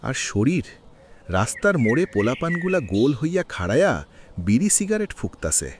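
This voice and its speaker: Male actor as aggrieved elderly villager, rural Bangladeshi accent, animated plaintive delivery, faint noise behind. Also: mid-range voice, normal speed, close to an everyday voice. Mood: shaken, indignant. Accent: rural Bangladeshi Bengali. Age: older. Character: weary, aggrieved elderly village man lamenting hard times. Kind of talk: cartoon village drama dialogue. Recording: faint background noise with clicks.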